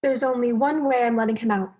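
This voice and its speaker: A stubborn, aggrieved fish wife, played by a woman in a radio play. Her voice is clearly high, and she speaks in a blunt, earnest way. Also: fairly even intonation, brisk, darkish tone, lightly acted. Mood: demanding. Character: aggrieved, stubborn female fish wanting a divorce. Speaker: actor.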